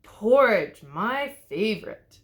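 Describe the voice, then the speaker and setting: A grumpy old big bad wolf from a storybook, played by a woman reading aloud. The delivery is growly and drawn-out, full of exclamation, and swings widely in pitch. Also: big pitch swings. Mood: pleased. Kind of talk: children's storybook read-aloud.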